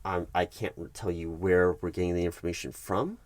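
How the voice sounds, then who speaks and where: Low-voiced man as a measured HR manager. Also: fairly even intonation, darkish tone, no echo. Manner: measured, hesitant, formal office phrasing. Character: polite, evasive corporate HR recruiting manager. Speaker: roleplay performer as HR manager. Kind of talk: soft-spoken ASMR roleplay, one-sided workplace meeting.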